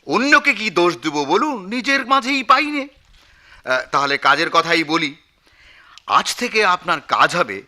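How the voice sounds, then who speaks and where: Pompous male newspaper editor, very bright forceful voice, swooping delivery, florid comic radio-play grandeur. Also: on the high side, full character voice. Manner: pompous, florid, wheedling, with hesitant filler sounds. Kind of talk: comic Bengali radio drama acting.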